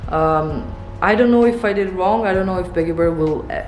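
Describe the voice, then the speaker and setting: Woman in her thirties, Serbian native speaker, low voice, hesitant musing vlog delivery, roomy studio sound. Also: normal speed, background noise. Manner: reflective, hesitant, many fillers, laughs softly. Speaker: illustrator vlogger. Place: studio room indoors.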